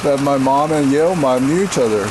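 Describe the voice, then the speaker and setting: A deep-voiced man in his forties from Korea, chatting as a podcast guest. His talk is loose and full of fillers and quick laughs, at an ordinary middle pitch. Steady rain noise runs under the recording. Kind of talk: casual storytelling.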